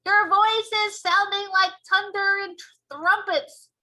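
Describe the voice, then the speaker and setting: A woman's voice: sits high, sing-song, normal speed, bright, forceful tone, voice pushed up, full character voice. A woman voicing a huge, kindly but blustery male giant in a children's storybook, with quaint broken grammar and muddled invented words. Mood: pained, protesting.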